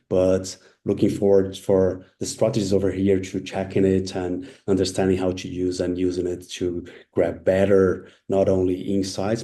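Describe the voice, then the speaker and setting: A man hosting an interview as a strategist, asking questions in earnest, halting phrasing. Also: sits low, warm tone. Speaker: podcast host, strategist.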